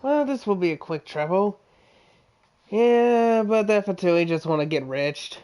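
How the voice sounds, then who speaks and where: A man casually commenting while playing a game, relaxed and chuckling to himself. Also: high voice, moderate pace, smooth. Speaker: streamer. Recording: game music over the voice.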